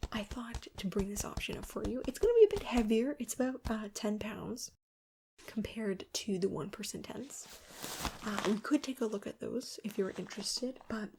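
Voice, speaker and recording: Soft, breathy woman in whispery ASMR. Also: a little high, expressive, moderate pace, slight background noise. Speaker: host role-playing a camping store clerk. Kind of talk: ASMR role-play, soft-spoken sales help.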